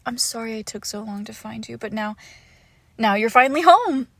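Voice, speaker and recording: A woman playing a lovesick, possessive young witch in an intimate roleplay audio, cooing haltingly and tenderly with a creepy edge, often dropping into whispers. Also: fairly high pitch, animated, gravelly, a bit bright, no echo, full character voice. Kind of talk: intimate roleplay audio acting, close to the listener.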